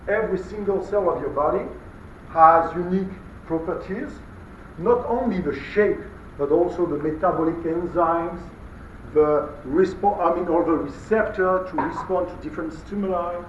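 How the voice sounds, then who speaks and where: A man whose first language is French lectures from across a big echoey hall, his fairly high voice muffled and distant. He talks in halting runs, full of fillers and restarts. A faint hiss sits under the recording. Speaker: guest lecturer. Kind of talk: university lecture. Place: large lecture hall, indoors. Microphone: camera far from speaker.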